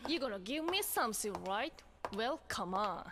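A woman's voice: fairly high pitch, big pitch swings, normal speed. An actress voicing a tough, foul-mouthed female gunslinger who taunts aggressively in an anime clip. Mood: taunting. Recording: clip with background noise.

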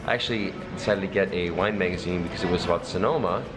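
A man's voice: deep voice, fast, music in the background. A man in his thirties, a father and vlog host, chatting casually to a handheld camera in an airport. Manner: easygoing, chatty. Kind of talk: casual family vlog narration. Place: airport shop and terminal, indoors. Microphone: handheld camera at arm's length.

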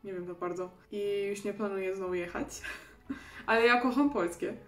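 A young woman in her twenties speaks with a German accent, chatting casually and self-deprecatingly to her camera. Her mid-pitched voice bounces around a small, echoey bedroom, with faint music playing softly underneath. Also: moderate pace. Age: 20s. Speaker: vlogger.

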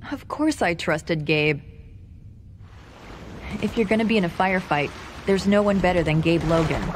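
An actress playing a composed, capable intelligence agent, measured and matter-of-fact, in a video game. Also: female voice, fairly low pitch, expressive, lightly acted. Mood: measured testimony. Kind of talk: scripted game cutscene acting. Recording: game audio with background noise.

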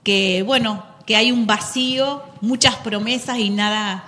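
Middle-aged Argentine teacher, earnest, filler-heavy, handheld mic in a studio with a slight hum. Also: female voice, a little high, measured pace, pushed and bright, in a large hall. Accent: Argentine Spanish. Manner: earnest, listing, many fillers. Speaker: teacher of economics, guest. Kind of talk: studio interview answers.

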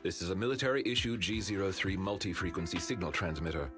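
Deep, composed werewolf butler. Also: male voice, animated. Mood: calm, formal. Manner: formal, measured, polite. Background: game music.

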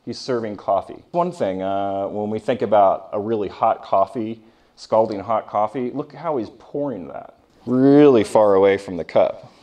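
An American man with a fairly low voice lectures like a history professor talking to his class. His delivery is informal and conversational, and he keeps tossing questions out to his listeners as he explains. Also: normal speed, mellow.

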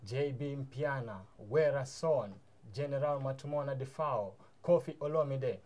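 A man's voice: medium pitch, normal speed, darkish tone. A young man hosting an interview show in a small studio, giving enthusiastic introductions in loose, informal phrasing. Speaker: show host. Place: small studio room with mic stands.

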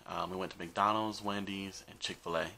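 A young man hosts a vlog, talking casually to camera. His voice sits on the low side and comes across bright and forceful. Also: steady tone, normal speed, creaky. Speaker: vlog host. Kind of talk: casual chat to camera introducing a video. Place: small bedroom, indoors.

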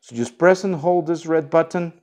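A man's voice: on the high side, moderate pace, a little mellow. A man hosting a casual instructional walkthrough, explaining each step informally. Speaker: product tutorial host.

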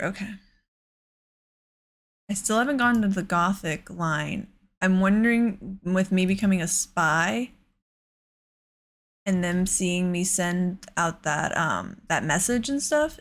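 A woman's voice: lowish voice, expressive, unhurried. A woman giving casual let's play commentary, thinking aloud in an exasperated way. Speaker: gaming host.

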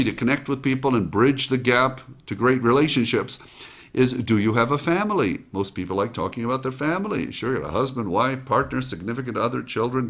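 An older man from Israel gives an upbeat motivational talk to camera in a small studio, close on the mic. He tells stories with energy, acting out quoted lines in a voice of middling pitch. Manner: lively storytelling, acts out quoted lines. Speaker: host and motivational speaker. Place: small home studio set, indoors.

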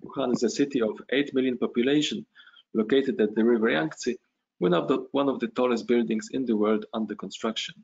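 Brief: male doctor, online lecture, mid pitch, muffled call audio. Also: creaky, a little mellow, breathy edge. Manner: measured, hesitant with fillers. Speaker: lecturing physician. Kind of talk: academic conference lecture. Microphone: video call. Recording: dull lo-fi video call audio.

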